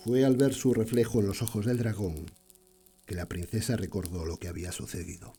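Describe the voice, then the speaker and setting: A man playing a solemn storyteller, narrating a scripted fairy tale in a measured, literary way. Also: fairly low pitch, steady tone, natural voice. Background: music.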